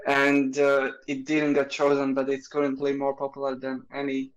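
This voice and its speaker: A man's voice: medium pitch, monotone, normal speed, poor audio. A man from Latvia co-hosting a podcast in casual chat, hesitant and often restarting his sentences. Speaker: podcast co-host.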